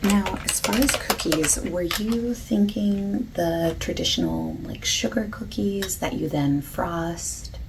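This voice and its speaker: A woman playing a friendly, patient bakery salesperson in a soft-spoken ASMR roleplay, often breathy and near a whisper, gently overexplaining. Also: very animated, takes it slowly, hardly any pauses, heavily acted. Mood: soft, accommodating. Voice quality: low-pitched, creaky, soft. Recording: lo-fi hissy close recording, keyboard typing.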